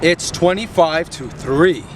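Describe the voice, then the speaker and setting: An actor playing a cheeky Puerto Rican student who answers matter-of-factly. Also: male voice, a little high, slow, noisy background.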